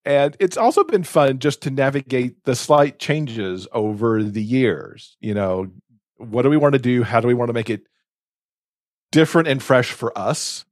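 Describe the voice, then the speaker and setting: A man's voice: mid-range voice, moderate pace, no room sound, studio quality. A man chatting casually as a podcast co-host, speaking in a reflective, earnest way.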